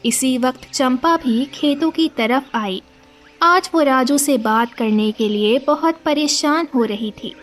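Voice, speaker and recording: A woman's voice: sits high, takes it slowly. A woman giving scripted narration of a moral story as its storyteller.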